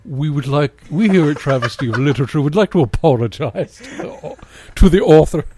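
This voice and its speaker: A man's voice: on the high side, lively intonation, a little fast, a little mellow. A man doing a dramatic comedic reading of fan fiction, breaking into exasperated, mocking asides. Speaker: co-host of a comedy reading show.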